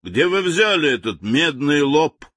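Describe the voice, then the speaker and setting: A man's voice: on the high side, big pitch swings, measured pace, a bit bright, dry recording, studio quality, voice pushed up, full character voice. A man voicing a blustering, hot-tempered general who barks out orders. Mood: furious. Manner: barking, commanding, indignant.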